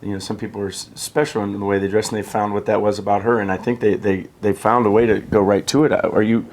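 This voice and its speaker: A man's voice: fairly low pitch, little pitch movement, quick pace, a little mellow. A man from the United States, an actor guest in a backstage interview, telling stories in an easygoing, chatty way with frequent restarts. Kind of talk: casual interview storytelling. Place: backstage room at a theater.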